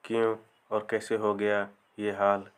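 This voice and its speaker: A man narrating a scripted comic folktale as a storyteller, in measured short phrases. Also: fairly low pitch, monotone, a bit bright, clean tone.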